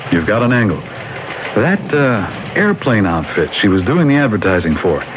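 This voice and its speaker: A pragmatic police boss in a vintage radio play, low in pitch and matter-of-fact. He sounds shrewd and unruffled on a muffled broadcast with clear noise. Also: sing-song, normal speed. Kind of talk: 1970s radio drama acting. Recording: dull, noisy 1970s radio broadcast.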